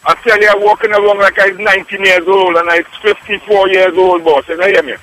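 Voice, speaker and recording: Trinidadian male caller, 50s, high bright voice, eager and effusive. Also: level tone, a little fast, rough recording. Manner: enthusiastic, keeps calling the host "boss". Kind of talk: phone-in radio testimonial.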